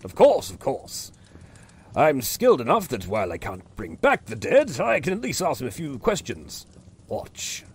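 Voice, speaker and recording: A man's voice: mid-range voice, huge pitch swings, normal speed, slightly rough, heavily acted. A man voicing a cheerful, meddling cleric with a chirpy manner. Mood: chipper. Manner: chirpy, busybody. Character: cheerful male cleric, nosy and chipper. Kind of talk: audio drama acting.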